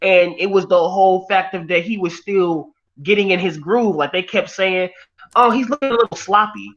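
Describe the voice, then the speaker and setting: A man's voice: sits high, normal speed. Rambling man on a muffled call. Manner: animated, rambling with many restarts. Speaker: stream host. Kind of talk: casual group discussion. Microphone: online voice call. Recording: dull lo-fi audio.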